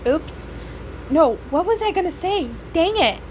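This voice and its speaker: High-voiced, animated woman gamer. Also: a little slow, slight background noise, rough recording. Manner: playful, wry, slangy. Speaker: game streamer. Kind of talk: casual gaming commentary.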